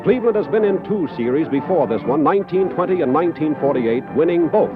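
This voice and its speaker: A man's voice: fairly high pitch, lively intonation, fast talker. An American man reading scripted 1950s newsreel narration as an off-screen narrator. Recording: muffled 1950s broadcast over music. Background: music.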